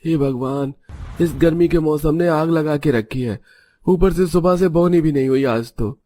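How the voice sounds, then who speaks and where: A man's voice: fairly high pitch, a little slow, dark tone, slight background noise, voice pushed up, lightly acted. A man voicing a struggling street fruit and vegetable hawker in a family story. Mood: weary, dejected.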